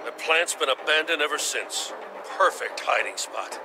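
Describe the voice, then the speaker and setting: A man's voice: fairly high pitch, lively intonation, normal speed, music in the background. A man playing a weary, no-nonsense police commissioner in a video game, blunt and matter-of-fact. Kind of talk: video game character acting.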